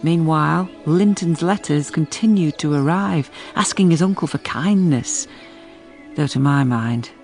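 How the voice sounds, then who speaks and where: Stern, loyal housekeeper-narrator, low register with some creak, measured gothic storytelling. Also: female voice, darkish tone, soft background music. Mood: grave, measured. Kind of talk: dramatic first-person audio drama narration.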